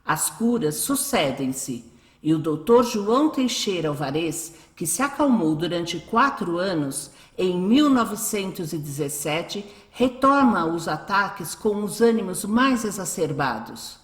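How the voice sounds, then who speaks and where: A woman's voice: fairly low pitch, big pitch swings, unhurried. A woman with a Brazilian accent reading scripted narration for a radio drama. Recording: roomy echo, music behind.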